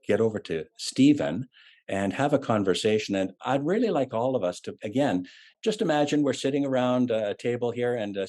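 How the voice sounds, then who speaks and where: A man's voice: on the low side, a little mellow. A man hosting an online ministry event, introducing and interviewing a guest in a friendly, easygoing way. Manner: friendly, easygoing, with frequent "uh" fillers.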